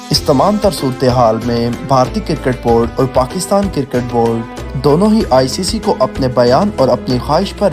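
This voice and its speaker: A man with a Pakistani accent reads scripted news narration in a formal, declarative manner. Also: medium pitch. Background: background music.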